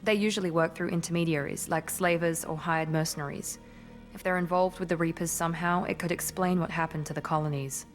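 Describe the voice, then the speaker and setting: A woman's voice: on the low side, steady tone, fairly quick, faint music behind, natural voice. A woman playing a cool, analytical covert operative in a video game, detached and matter-of-fact.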